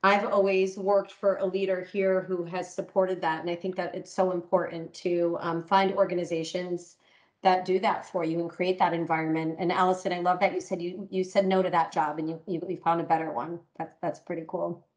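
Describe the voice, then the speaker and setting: A woman's voice: fairly low pitch, flat delivery, normal speed, poor audio. Middle-aged woman hosting a panel. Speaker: podcast host and panel lead. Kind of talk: panel discussion on a call.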